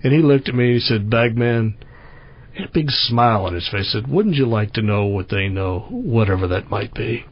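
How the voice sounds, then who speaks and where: A man's voice: medium pitch, expressive, normal speed, slightly rough, lo-fi sound. A man hosting an outdoor radio show, chatting with a guest in a folksy, plainspoken way. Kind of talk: radio interview.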